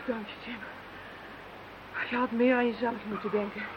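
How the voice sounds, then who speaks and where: A woman's voice: on the high side, level tone, moderate pace, close to an everyday voice. An actress playing a determined, hunted woman in a radio thriller, speaking emphatically and dramatically. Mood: weary, reassuring. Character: defiant, determined woman hunted by a telepathic enemy. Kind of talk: Dutch radio play acting. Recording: dull, hissy radio play recording.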